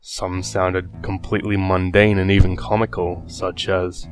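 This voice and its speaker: A man with a low voice narrates a scripted horror story. He explains things in a measured way. Plucked guitar music plays clearly behind him. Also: flat delivery.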